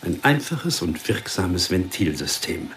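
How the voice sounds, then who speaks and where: An actor playing a precise, matter-of-fact ballistics examiner explaining evidence in a radio crime drama. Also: male voice, sits low, very animated, unhurried, fairly bright tone, distant mic, a little hiss or hum, exaggerated voice. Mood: dryly expert. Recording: echoey room, off the mic.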